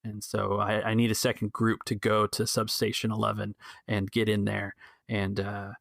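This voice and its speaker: A man acting as game master on a tabletop podcast, with a creaky, fairly low voice. He is earnest and halting, recorded close and dry. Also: monotone, fairly bright tone, no echo. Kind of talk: tabletop game roleplay narration.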